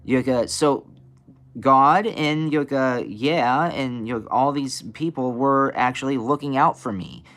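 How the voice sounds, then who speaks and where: A young man with a raspy voice, a blues and soul singer, talking casually in an interview with many fillers and restarts. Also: medium pitch, measured pace, fairly bright tone.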